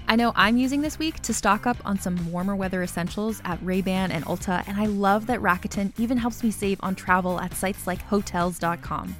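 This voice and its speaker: Upbeat woman reading an ad. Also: mid-range voice. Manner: upbeat and promotional. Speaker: ad reader. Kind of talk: scripted ad read. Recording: music bed behind.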